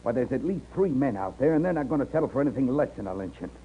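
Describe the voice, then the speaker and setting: A man acts out a desperate, cornered frontier man in an old radio western. His talk is a rough rustic drawl at a middling pitch. The recording is dull, with faint noise behind him. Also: lively intonation, rapid-fire, close to an everyday voice. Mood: bitter, resigned. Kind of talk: 1950s radio western acting. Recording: noisy muffled 1950s radio recording.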